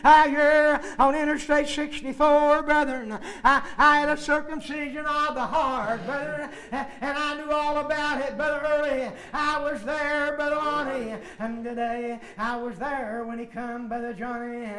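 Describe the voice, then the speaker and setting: A man's voice: very high voice, flat delivery, measured pace, few pauses, pushed and bright, roomy sound, poor audio. A man preaching to his congregation in a rhythmic sing-song chant, dropping "uh" and "and today" fillers as he calls out brothers by name. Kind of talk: chanted old-time sermon.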